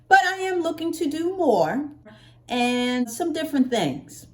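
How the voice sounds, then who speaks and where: An American woman talks casually to her viewers as a vlogger. Her voice sits a little high. She is chatty and playful, leaning on words and drawing them out for emphasis. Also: very animated, a little slow. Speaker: hair care vlogger.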